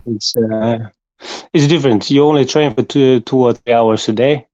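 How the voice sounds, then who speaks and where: A man in his forties, a guest on a podcast, speaking calmly and plainly, repeating words as he thinks. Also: medium pitch, normal speed, no room sound, dull audio. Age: 40s. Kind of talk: casual chat.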